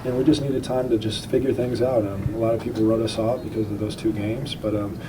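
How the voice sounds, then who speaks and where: A man's voice: fairly low pitch, fairly even intonation, a little fast. A young man, a basketball player, answering questions in a press interview. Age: young adult. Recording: distant mic with background noise.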